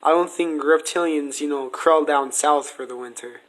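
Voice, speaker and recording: A man's voice: fairly high pitch, moderate pace, a bit bright, rough recording. A man hosting a podcast, asking questions in a casual interview style.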